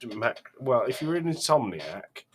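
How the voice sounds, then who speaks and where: A man's voice: medium pitch, big pitch swings, a little slow, slight background noise. A man co-hosting a podcast, giving emphatic opinions on films in casual chat. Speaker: podcast co-host.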